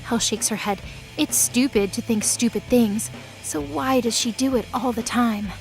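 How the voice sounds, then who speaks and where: A woman narrates a mythology audio drama in a scripted, storytelling style. Her voice sits fairly high, and music plays clearly behind her. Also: normal speed, darkish tone, rough recording.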